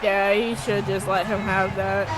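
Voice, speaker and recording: A woman's voice: mid-range voice, flat delivery, measured pace, over music. A woman doing upbeat, chatty color commentary, full of fillers and easy laughter. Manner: upbeat, chatty, laughs easily, lots of likes. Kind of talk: casual sports commentary banter.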